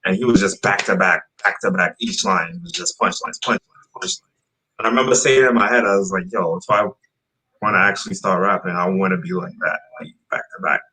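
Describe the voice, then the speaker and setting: A man from Trinidad in his thirties, relaxed and rambling as he tells his story with lots of fillers. His voice sits low but has a bright, forward edge. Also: a little fast, breathy edge, low-quality recording. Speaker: guest rapper. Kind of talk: casual interview chat.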